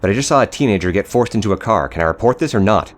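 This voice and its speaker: A man playing a nervous, agitated high school boy in an audio drama, hesitantly reporting a crime. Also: expressive, rapid-fire, a little hiss or hum, natural voice. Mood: irritated.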